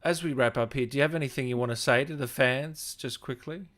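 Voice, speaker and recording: A man with an Australian accent hosts a relaxed, informal interview. His voice sits at a medium pitch. He talks in a friendly, easygoing way. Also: fairly quick. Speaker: interview host. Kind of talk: casual chatty interview.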